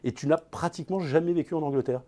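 A man's voice: a little high, quick pace, dark tone. A man hosting an interview, introducing his guest and prompting him with chatty questions. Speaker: host.

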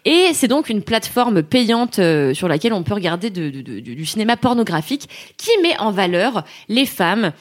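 A woman's voice: mid-range voice, big pitch swings, normal speed, few pauses. A woman co-hosts a podcast in easygoing, chatty conversation. She sounds enthusiastic and laughs easily. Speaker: podcast co-host. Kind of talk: casual chat.

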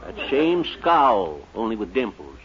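A man's voice: fairly low pitch, sing-song, measured pace. An actor playing a folksy, gossipy small-town hotel man, exclaiming in 1940s radio comedy style. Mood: astonished, gossipy. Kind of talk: 1940s radio sitcom acting. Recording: muffled, noisy 1940s radio recording.

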